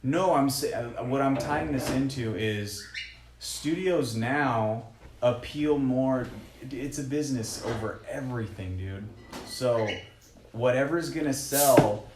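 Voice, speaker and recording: A man's voice: medium pitch, slow, reverberant, noisy background. A man co-hosting a podcast, talking casually with friends in slangy language full of swearing. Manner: profane, slangy, says dude often. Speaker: podcast co-host. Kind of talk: casual movie discussion among friends.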